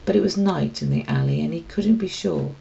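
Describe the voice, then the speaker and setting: A woman reading a children's story aloud as expressive scripted narration. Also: low-pitched, brisk, vocal fry, lo-fi sound. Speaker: storybook reader.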